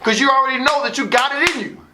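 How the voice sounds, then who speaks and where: A man's voice: very high-pitched, fast talker, background noise. An American man telling a personal story like a motivational speaker, theatrical, with emphatic repetition and acted-out dialogue. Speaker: motivational storyteller and student athlete advisor.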